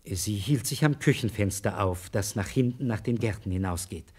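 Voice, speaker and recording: An actor in a radio crime play as an anxious, earnest village schoolteacher who speaks haltingly. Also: male voice, fairly low pitch, a little mellow, husky. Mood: uneasy explaining. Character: anxious, hesitant village schoolteacher, a married man under suspicion. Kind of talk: German radio play acting.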